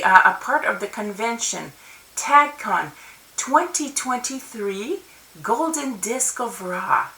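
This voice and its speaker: A woman's voice: fairly high pitch, bright, forceful tone. A middle-aged woman whose first language is Russian, telling a personal story in a loose, rambling way with restarts and laughs, speaking to a webcam in her home study. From Soviet Union. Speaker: convention speaker. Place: home study with bookshelves and plants. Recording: roomy webcam audio from a distance.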